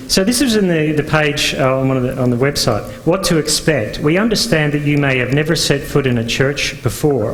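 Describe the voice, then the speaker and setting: Halting Northern Irish-raised man lecturing. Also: medium pitch, slight background noise, poor audio. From Northern Ireland. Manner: conversational, halting with frequent fillers and restarts. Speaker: conference speaker and pastor. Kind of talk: conference talk with slides. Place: conference venue.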